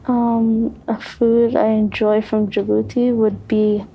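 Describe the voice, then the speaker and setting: A young woman answering on a student panel, unhurried and hedging her answers. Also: fairly high pitch, level tone, dull audio, slight background noise. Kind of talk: casual panel discussion.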